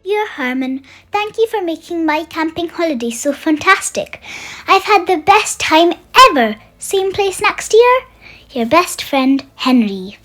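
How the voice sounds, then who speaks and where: High-voiced timid storybook camper, played by a reader. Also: big pitch swings, unhurried, bright, forceful tone, full character voice. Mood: grateful. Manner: plaintive, blunt. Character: timid, glum male friend, a reluctant camper. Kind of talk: children's storybook read-aloud. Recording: music under the voice.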